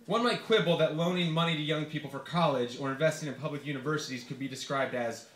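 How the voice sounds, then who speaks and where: A man's voice: fairly high pitch, quick pace, fairly bright tone, echoey room. A man giving a wry, performative live reading of his own satirical essay to a bar audience.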